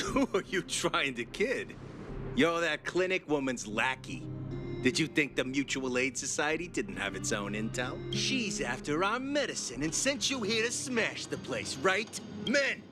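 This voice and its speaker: A man's voice: a little high, very animated, unhurried. An actor playing a brash, contemptuous young male guard in a game cutscene. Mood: taunting. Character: cocky young male thug guard, taunting. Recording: game score music behind.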